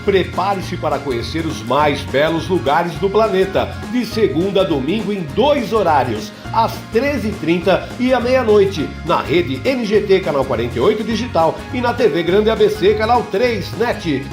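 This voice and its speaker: A man reading an energetic TV program promo. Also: high voice, normal speed, hardly any pauses, echoey room, over music. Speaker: promo announcer. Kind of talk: TV promo announcement.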